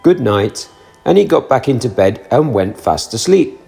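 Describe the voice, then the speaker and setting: A man reading a children's storybook aloud, narrating in an expressive, animated way. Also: medium pitch, moderate pace, gravelly, faint music behind.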